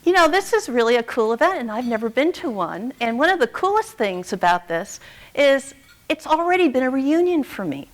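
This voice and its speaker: Upbeat higher-voiced middle-aged woman. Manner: upbeat, conversational. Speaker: keynote speaker, university program director. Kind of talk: keynote talk. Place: large hotel ballroom.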